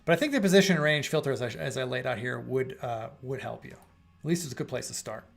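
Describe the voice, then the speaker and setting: A man's voice: medium pitch, fast, vocal fry, soft background music. A middle-aged man hosting a live trading stream, answering viewer questions in a casual, rambling way with fillers and restarts, losing his voice a bit. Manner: conversational, thinking aloud with fillers and restarts. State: losing his voice, clearing throat. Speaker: host of live trading room.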